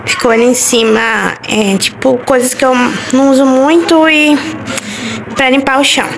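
A woman's voice: high-pitched, fairly even intonation, moderate pace, vocal fry, background noise. A young woman casually chatting to viewers while tidying her home kitchen, pointing things out one by one on a handheld phone. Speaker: home vlogger. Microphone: handheld phone camera.

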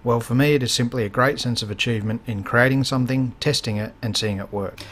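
A man's voice: medium pitch, quick pace, a bit bright, a little hiss or hum. A man in his thirties with an Australian accent, hosting a fishing channel in casual how-to vlog talk, plain-spoken with frequent fillers, filmed in his home workshop.

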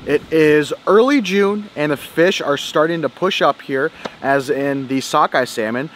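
A man's voice: fairly high pitch, a little slow, a bit bright, a little hiss or hum. A man in his thirties hosting a fishing vlog outdoors, talking to a handheld camera at arm's length in an upbeat, casual way. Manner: upbeat, addresses viewers as guys. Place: outdoor parking lot by a river trail.

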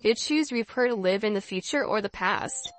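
A woman voicing a polite, thoughtful, inquisitive young engineer in a visual novel, with formal, measured phrasing. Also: medium pitch, lively intonation, soft background music, natural voice. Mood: pleasant, curious. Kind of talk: visual novel character dialogue.

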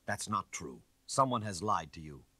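A man voicing a frightened, broken former servant in a story, nervous, husky and defensive. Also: deep voice, lively intonation, sharp tone, no echo, heavily acted. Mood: anxious denial. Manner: nervous, halting protests.

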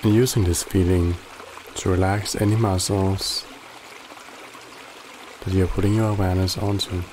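A man guiding a body scan meditation in a calm, gently instructive way with long pauses. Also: sits low, slight background noise. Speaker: meditation guide. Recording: loud running water behind the voice. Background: trickling stream water ambience.

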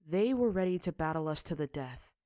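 A woman voicing a gentle, weary, earnest father in a story reading, speaking in a measured way. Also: sits low, lively intonation, no room sound, studio quality.

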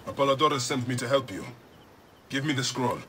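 A man's voice: medium pitch, brisk, background noise, lightly acted. An actor playing a stoic, determined male warrior in an ancient Egypt video game, speaking tersely and directly. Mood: businesslike.

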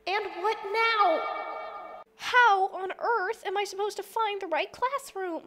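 A woman's voice: very high-pitched, takes it slowly, fairly bright tone, full character voice. A woman voicing an anxious, easily exasperated new schoolgirl in an amateur comic fandub.

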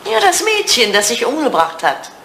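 An actress playing an elderly English widow in a retro German radio play, teasing, forthright and sentimental, with a playful, pointed manner. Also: female voice, fairly high pitch, wildly swooping pitch, normal speed, sharp tone, exaggerated voice. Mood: curious, probing. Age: older. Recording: roomy, slightly noisy old radio recording.

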